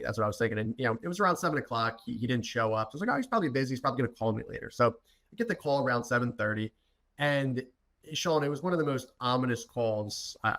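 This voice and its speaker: A man's voice: fairly low pitch, gravelly, a bit bright. Informal young man telling a personal story. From United States, Philadelphia. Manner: informal, many restarts and repeated words. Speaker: podcast guest, entrepreneur. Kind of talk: personal storytelling in a podcast interview.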